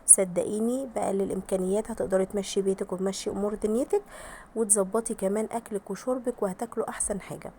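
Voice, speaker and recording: Hoarse Egyptian woman, fast chatty voice-over. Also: medium pitch, fairly even intonation, dark tone, a little hiss or hum. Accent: Egyptian Arabic. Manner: chatty, addresses viewers directly with frequent blessings. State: hoarse from a cold. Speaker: home cooking vlogger. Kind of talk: casual voice-over vlog.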